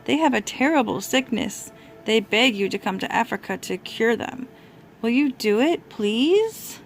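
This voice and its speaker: A woman voicing a pleading, excited monkey messenger in an urgent, beseeching storybook manner. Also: a little high, measured pace, faint music behind.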